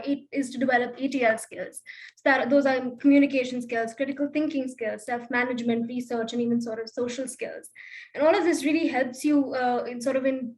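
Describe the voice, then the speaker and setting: A woman's voice: high voice, low-quality recording. A teenage girl on a student panel, articulate and listing points, with frequent uhs. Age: teens. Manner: articulate, listy, many fillers. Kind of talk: answering panel questions.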